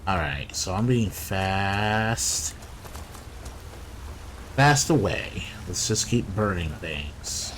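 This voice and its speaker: Male gameplay commentator, casual and muttering, animated pitch, noisy recording. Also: extremely slow, pauses often. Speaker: gameplay video creator.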